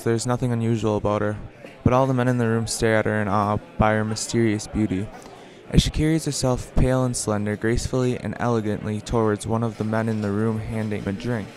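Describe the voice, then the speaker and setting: A man's voice: fairly low pitch, a little mellow. A man reading a scripted radio play as narrator in an amateur way, with noisy sound effects behind him.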